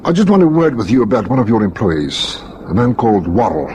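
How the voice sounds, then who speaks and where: An actor playing a polite, probing police lieutenant in a vintage radio drama, on a faintly noisy recording. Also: male voice, fairly low pitch, sing-song, a little fast, a little mellow. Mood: probing, businesslike. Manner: hesitant, courteous questioning.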